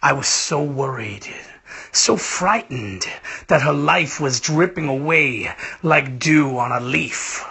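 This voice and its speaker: A man reading a literary story in the first person, with theatrical, emotive phrasing and hushed, breathy phrase endings. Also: medium pitch, animated, takes it slowly. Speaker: reader of a short story.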